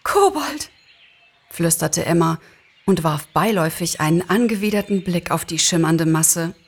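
A woman narrating a story in an expressive, scripted reading. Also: lowish voice, moderate pace, slightly rough. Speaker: narrator, actress.

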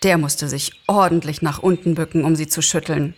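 Low-voiced woman reading a story. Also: steady tone, normal speed. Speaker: narrator, actress. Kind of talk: expressive scripted story narration.

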